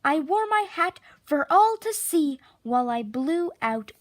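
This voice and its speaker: A woman with a high voice reads a children's storybook aloud in a very expressive way. Her pitch swings widely and she takes her time, stretching key words theatrically. The sound is close and dry. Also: unhurried, dry recording. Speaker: picture book narrator.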